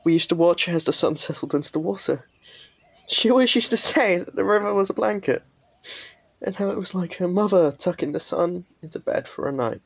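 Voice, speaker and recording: A man's voice: high-pitched, animated, brisk, gravelly, exaggerated voice. A man playing an earnest, wistful Victorian boy in an audio drama. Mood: wistful, hesitant.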